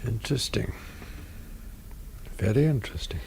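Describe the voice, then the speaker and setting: An actor playing a shrewd, self-assured police inspector in a radio drama, terse and measured in manner. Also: male voice, fairly low pitch, expressive, gravelly, dark tone, a little hiss or hum. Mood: probing. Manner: terse, measured, courteous but brusque.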